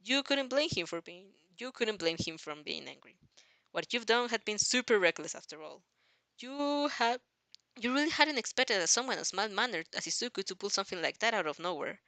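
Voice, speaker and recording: A woman reading a story aloud as an amateur fan-fiction narrator, dramatic but halting, often repeating phrases. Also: mid-range voice, lively intonation, pushed and bright, no room sound.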